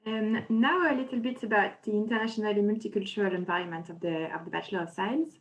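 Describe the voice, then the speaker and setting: A woman's voice: mid-range voice, quick pace, muffled recording. A woman hosting a webinar over a video call, presenting and asking a student questions, with many fillers. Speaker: webinar host.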